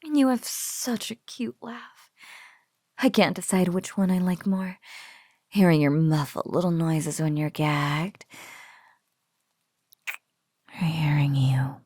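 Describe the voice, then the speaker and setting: A woman playing a teasing, possessive female spider monster in an intimate audio roleplay, with a breathy, cooing drawl that often slips into whispers. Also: deep voice, takes it slowly, long pauses, warm tone, dry recording, clean studio sound, exaggerated voice. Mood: gloating, playful. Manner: teasing, drawling coo with giggles.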